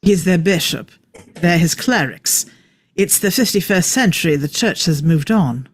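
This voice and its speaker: A man's voice: fairly high pitch, expressive, measured pace, dry recording. Man playing a wry, brooding eccentric genius, close and dry. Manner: wry, emphatic explaining. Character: the Doctor, eccentric, brooding, clever time-travelling man. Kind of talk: script table read.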